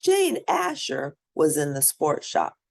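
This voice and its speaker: A woman storyteller reads aloud to young children from a picture book. Her voice is low with some gravel in it, and she swings widely in pitch as she tells it, animated and expressive. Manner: animated, pauses to point out pictures.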